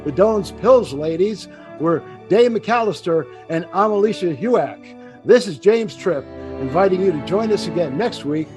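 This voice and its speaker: A male announcer reads in an old-time radio manner. His voice is high for a man, with faint music behind him. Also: lively intonation, unhurried. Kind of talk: scripted old-time radio closing credits.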